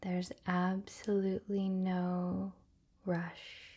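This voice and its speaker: A woman leading a guided relaxation meditation in a soft, breathy, often near-whispered voice, gentle and soothing with long pauses. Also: fairly low pitch, monotone.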